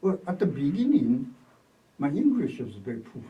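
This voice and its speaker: An older man from Taiwan with a Taiwanese accent, recalling his life in an oral history interview in halting short phrases. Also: on the high side, big pitch swings, muffled recording. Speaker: interviewee, retired academic. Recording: distant microphone.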